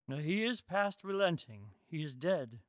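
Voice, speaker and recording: A man's voice: on the high side, lively intonation, unhurried, no room sound, studio quality. A man playing an earnest, debt-burdened husband in a radio-style drama. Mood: grave. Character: earnest, relieved indebted husband.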